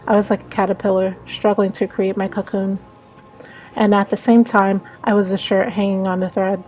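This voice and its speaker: A woman's voice: mid-range voice, flat delivery, normal speed, darkish tone, lo-fi sound, soft background music, close to an everyday voice. A woman voicing a lonely, wistful female passenger in a visual novel, musing and hesitant. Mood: melancholy. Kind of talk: visual novel read-aloud.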